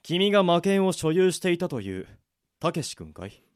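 A man playing a formal, measured, authoritative prison warden in a radio drama. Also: high voice, big pitch swings, clean tone, dry recording, studio quality. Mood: cool, stern. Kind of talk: amateur radio drama acting.

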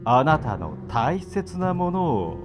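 A man's voice: a little high, sing-song, slow, over music. A man acting a playful, mock-polite sadistic torturer in an amateur radio drama. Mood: coldly polite. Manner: sing-song mock politeness with giggling.

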